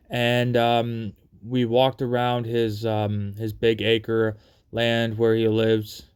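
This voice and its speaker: Evenly pitched man telling casual stories. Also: darkish tone, smooth. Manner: rambling, repetitive, halting. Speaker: storyteller. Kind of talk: casual personal storytelling.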